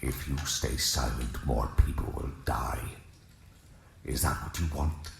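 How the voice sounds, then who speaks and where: An actor playing a grim, menacing interrogator, pressing intensely in a very gravelly voice. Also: male voice, very deep voice, measured pace, heavily acted. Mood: grim, urgent. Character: grim, menacing male interrogator in horror drama. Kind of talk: dramatic horror acting. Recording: echoey room with loud noise.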